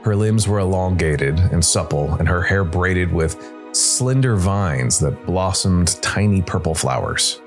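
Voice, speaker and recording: A man's voice: low-pitched, animated, a little slow. A man hosting a story podcast, reading scripted narration. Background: music.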